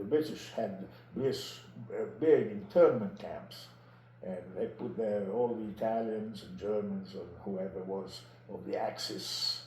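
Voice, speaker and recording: A man in his nineties from Egypt recalls his life in an interview, speaking haltingly and deliberately and often repeating phrases. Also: fairly low pitch, dark tone. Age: 90s. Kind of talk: personal recollection in an interview. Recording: roomy echo with clear background noise.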